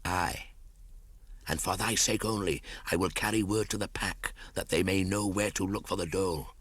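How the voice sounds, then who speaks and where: A man voicing an ancient, wise and cunning giant python for a storybook, gravelly and breathy, speaking archaically and deliberately, sinking toward whispers. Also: low-pitched, steady tone, a bit bright, no room sound, voice pushed up. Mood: loyal, concerned. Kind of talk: audiobook storybook character acting.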